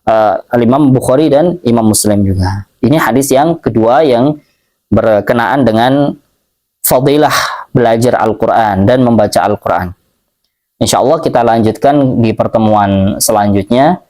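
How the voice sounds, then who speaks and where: A male Islamic teacher giving a religious study lecture, explaining slowly, repeating phrases and reading Arabic passages aloud. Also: mid-range voice, warm tone.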